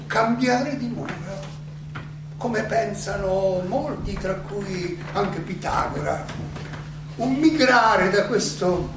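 Aged condemned philosopher, stage monologue, very slow and deliberate, defiant, serene, questioning, heavily reverberant noisy recording. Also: male voice, sits high, creaky, heavily acted. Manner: deliberate, halting, rhetorical questioning. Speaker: stage actor. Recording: echoey, noisy recording from far off.

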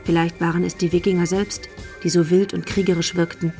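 A female narrator of a crime radio play, voiced by an actress. She tells the story in a scripted style with a fairly low voice. Music is clearly audible behind her. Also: flat delivery, a little fast, dark tone, close to an everyday voice. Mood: measured.

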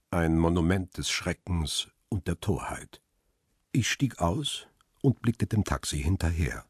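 Weary middle aged guy telling his own story in a radio drama, really low husky voice, dry close sound. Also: dry recording. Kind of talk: radio drama first-person narration.

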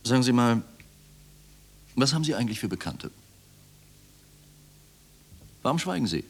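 An actor playing a coldly sardonic, probing police interrogator in a German radio drama, insistent and clipped. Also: male voice, mid-range voice, big pitch swings, fast talker, gravelly, husky, exaggerated voice. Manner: insistent, clipped, swinging from quiet to sharp.

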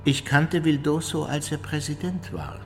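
An actor playing an evasive old Nazi war criminal in custody, answering curtly in a radio play. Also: male voice, a little high, sing-song, normal speed, long reverb tail, faint music behind. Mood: guarded, evasive. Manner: curt, evasive denials.

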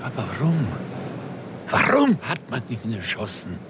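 An actor playing an obsessed, brooding scientist in a 1960s German radio crime drama, speaking in a husky, fervent, halting, theatrical way. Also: male voice, mid-range voice, huge pitch swings, noisy background. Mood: shaken. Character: obsessed male scientist fascinated by raising the dead.

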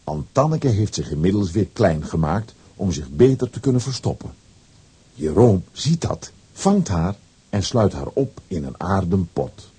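A man narrating a scripted children's audio story with a husky voice and wry asides. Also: low voice, very animated, a little slow, warm tone.

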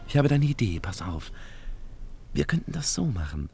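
A smooth young schemer in a radio play. His voice is on the low side and swings widely in pitch as he persuades. Faint music plays behind him. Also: sing-song, moderate pace, darkish tone. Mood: coaxing, scheming. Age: young adult. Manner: persuasive, glib. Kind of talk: German radio play acting.

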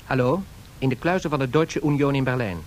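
An actor playing an excitable, harried male aide in a 1970s radio drama, theatrical and expressive. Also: brisk, lightly acted. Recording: vintage radio recording, low rumbling noise.

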